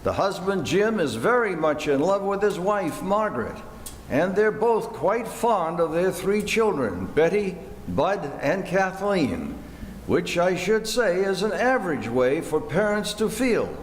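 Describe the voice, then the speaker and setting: Guy narrating old radio play, highish lively voice, echoey hall, bit noisy. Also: measured pace. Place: indoor theater hall.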